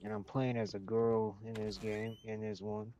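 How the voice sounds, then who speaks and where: A man's voice: lowish voice, level tone, normal speed, warm tone, a little hiss or hum. A young man streaming a game, chatting casually, rambling, talking to himself, and swearing often. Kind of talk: casual gaming stream chat.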